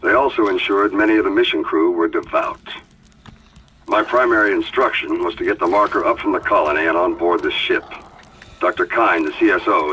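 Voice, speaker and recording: An actor playing a solemn, devout starship captain recording a grave, self-justifying personal log in a video game. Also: male voice, deep voice, bright, forceful tone. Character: solemn devout starship captain, male, defending his secret mission. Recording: noisy radio-like log recording, distant.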